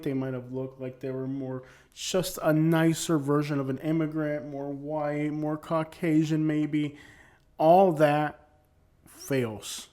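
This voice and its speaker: A man's voice: a little high, a little mellow. A man hosting an opinion channel, delivering blunt, emphatic commentary that keeps repeating its points. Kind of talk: opinionated commentary on arrest records.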